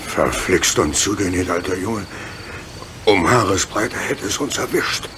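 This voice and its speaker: An actor playing a gruff, hearty, good-natured locomotive driver in a German radio play. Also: male voice, low voice, unhurried, background noise. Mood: relieved, weary.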